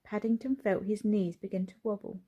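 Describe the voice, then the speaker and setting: A woman reading a children's story aloud as an expressive narrator. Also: medium pitch, normal speed, husky, dull audio.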